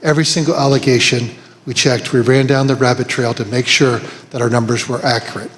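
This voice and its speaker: A man's voice: medium pitch, fairly even intonation, brisk, a bit bright. Firm, methodical man testifying in a hall. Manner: firm, methodical, lists figures. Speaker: testifying official. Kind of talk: hearing testimony. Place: large hearing room. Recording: long hall reverb with faint ambience.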